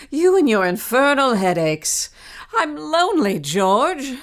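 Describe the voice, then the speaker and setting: A woman's voice: sits high, very animated, takes it slowly, heavily acted. An actress playing a scheming, impatient, seductive woman in a period melodrama, with a sly theatrical delivery. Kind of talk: period melodrama audio drama acting.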